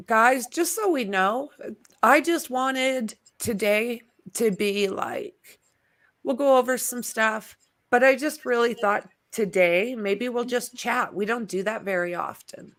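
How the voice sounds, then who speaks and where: A woman's voice: fairly high pitch, slow pace. Chatty woman hosting a casual livestream. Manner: rambling, relaxed and chatty, with frequent fillers. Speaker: livestream host. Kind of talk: casual chat.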